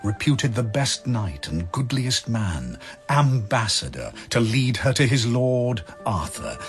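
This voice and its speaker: A man narrating a dramatic verse tale in a husky voice, as scripted storytelling. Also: medium pitch, big pitch swings, slow. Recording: music behind the voice. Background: music.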